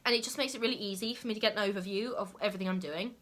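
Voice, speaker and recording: A woman's voice: mid-range voice, racing, a bit bright. A young adult woman talking casually to camera as a lifestyle YouTuber, chatty and full of "like" and "okay?" asides.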